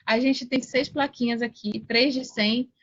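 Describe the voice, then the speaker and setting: A woman's voice: a little high, fairly even intonation, a little slow, a bit bright, muffled recording. A middle-aged Brazilian woman speaking casually as an interview guest, emphatic and repeating her points. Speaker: podcast guest, online affiliate mentor.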